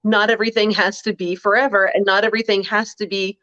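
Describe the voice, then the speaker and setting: A woman with a deep voice co-hosting a casual chat, wry and blunt, full of "like" and "um". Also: little pitch movement, fast talker, a bit bright, lo-fi sound. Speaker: co-host.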